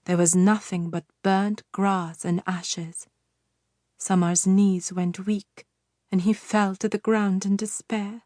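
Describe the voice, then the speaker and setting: Slow, measured female audiobook narrator. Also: mid-range voice, no room sound, studio quality. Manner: measured, expressive storytelling. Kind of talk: scripted fairytale narration.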